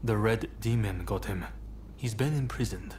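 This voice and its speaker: A man playing a composed, courteous young samurai rebel leader in a video game, speaking in a polite, measured and earnest way. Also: on the low side, a little mellow, slight background noise, natural voice. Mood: urgent, businesslike. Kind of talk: video game cutscene acting.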